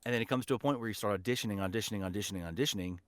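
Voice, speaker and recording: A man's voice: on the low side, racing, no room sound. A man speaking as an interview guest and voice acting teacher, giving encouraging, emphatic advice in a conversational way. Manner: encouraging, emphatic with repeated key phrases. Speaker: voice actor and teacher, podcast guest.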